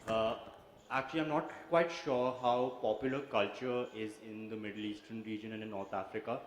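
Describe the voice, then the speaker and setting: A man in the audience slowly asking a question, pausing often with hesitant fillers. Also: on the low side, steady tone. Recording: echoey hall with faint noise.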